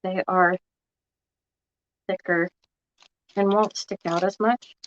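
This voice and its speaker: Slow, froggy-voiced woman crafting. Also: lowish voice, steady tone, slight background noise. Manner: unhurried, chatty, thinks aloud with fillers. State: has a cold, froggy voice. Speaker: craft vlogger. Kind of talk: casual how-to crafting chat to viewers. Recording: dull lo-fi dry recording.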